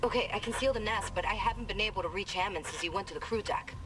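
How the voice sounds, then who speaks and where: An actress playing a frustrated, urgent crew technician in a naturalistic video game style. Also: female voice, medium pitch, fast, natural voice. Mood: urgent, worried. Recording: distant comms with music behind.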